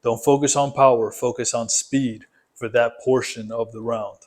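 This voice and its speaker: Male, boxing fitness coach, mid-pitched voice, little melodic variation, methodical step-by-step instruction. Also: steady tone, moderate pace, a little mellow. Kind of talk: instructional workout explanation.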